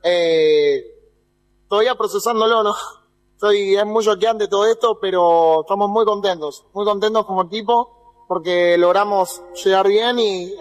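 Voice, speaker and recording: A man's voice: high voice, a little slow, big-room sound, low-quality recording. A young man talking after a competition, hesitant and modest, with many fillers. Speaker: athlete interviewed. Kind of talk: post-competition interview.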